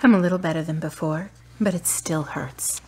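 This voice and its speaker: A woman acts out a grieving, strong-willed daughter for a radio play. Her voice sits low and has a husky grain. She speaks with feeling and stands her ground. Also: moderate pace. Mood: earnest. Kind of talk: radio drama acting.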